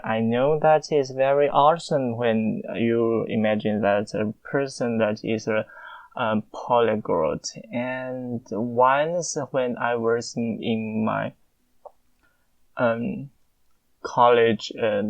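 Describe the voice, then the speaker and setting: A young man with a Mandarin Chinese accent, a native Mandarin speaker, answering listener questions in a casual, halting monologue full of filler pauses. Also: medium pitch, gravelly, dry recording, dull audio.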